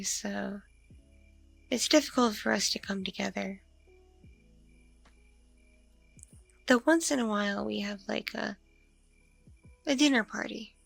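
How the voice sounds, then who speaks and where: A woman playing a lonely, coy vampire mother in an ASMR roleplay, musing slowly in a husky, breathy, creaky voice with soft hums. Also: mid-range voice. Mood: wistful, lonely. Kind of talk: ASMR audio roleplay, intimate one-sided conversation.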